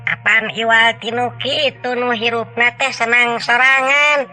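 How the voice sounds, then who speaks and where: A man's voice: steady tone, measured pace, full character voice. A man voicing an impish, cackling, wise companion who explains riddles in a teasing way in a dramatized folk tale. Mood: explanatory, wry. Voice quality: shrill, bright. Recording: music behind the voice.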